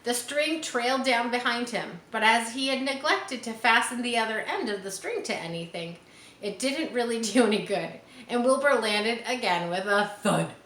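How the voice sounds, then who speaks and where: A woman reading a children's story aloud expressively, pausing to explain things as if to schoolchildren. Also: fairly high pitch, lively intonation, fairly bright tone. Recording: roomy echo, faint background noise.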